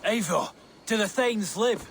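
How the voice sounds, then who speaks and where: An actor playing a practical war commander in a video game. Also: male voice, high-pitched, lively intonation, unhurried, a little hiss or hum. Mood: brisk, businesslike.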